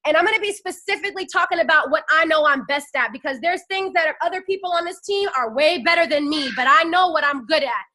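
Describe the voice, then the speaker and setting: A woman's voice: high voice, fast, hardly any pauses, pushed and bright. A woman in her twenties giving a fiery, preachy motivational pep talk into a phone and rallying her listeners with call-and-response. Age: 20s. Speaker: network marketing team leader.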